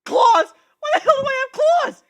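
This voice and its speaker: A man playing a wisecracking, excitable young male griffin in a theatrical, sarcastic story reading. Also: extremely high pitch, normal speed, raised voice, pitched above the performer's own voice. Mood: shrill shock.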